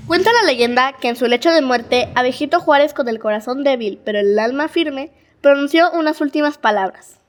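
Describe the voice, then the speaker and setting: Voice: high voice, moderate pace. A schoolchild with a Mexican accent reading scripted narration in a school audio drama. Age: child.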